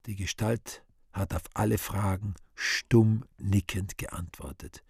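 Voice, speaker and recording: A man's voice: fairly low pitch, fairly even intonation, slow pace, gravelly, darkish tone, slightly rough, dry recording, clean studio sound. A man reading scripted stage directions in a radio play, in a subdued, matter-of-fact way.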